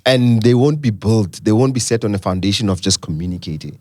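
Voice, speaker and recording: Podcast co-host, South African man, loud and animated, curses freely, dry close mic. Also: lowish voice, a little fast, no room sound. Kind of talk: casual chat.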